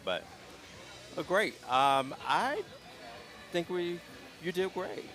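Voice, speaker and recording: Calm, gentle man hosting an interview. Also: sits high, slow. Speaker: interview host. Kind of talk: casual live interview. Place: outdoor street festival. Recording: outdoor live stream.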